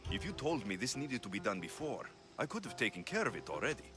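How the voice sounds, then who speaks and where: A man's voice: fairly low pitch, big pitch swings, quick pace, over music. A man playing a blunt, dry, confident Greek mercenary in a video game cutscene. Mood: impatient.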